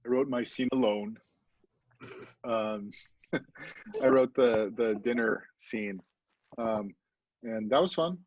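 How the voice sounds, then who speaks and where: A man's voice: fairly low pitch, slow, long pauses, darkish tone, dry recording. A man who writes for a theatre group, explaining things casually on a dull, dry recording.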